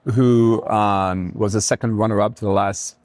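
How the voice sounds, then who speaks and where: A middle-aged man from Jamaica talking reflectively as an interview guest, pausing often mid-thought. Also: lowish voice, fairly even intonation, a little mellow, no room sound. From Kingston, Jamaica. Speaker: restaurateur and community leader, podcast guest.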